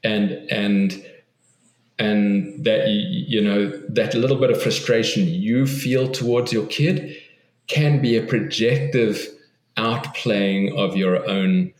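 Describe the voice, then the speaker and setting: A man's voice: fairly low pitch, steady tone, takes it slowly, roomy sound, poor audio. A man, a guest author on an interview podcast, speaks thoughtfully and earnestly, often restarting and repeating words.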